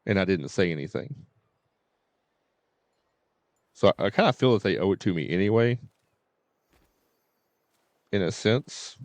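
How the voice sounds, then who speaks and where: Low-voiced man rambling on a livestream. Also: lively intonation, a little slow, long pauses, darkish tone, dry recording. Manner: informal, mildly cursing, repetitive "you know". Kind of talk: casual rambling livestream chat. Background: faint game music and dialogue.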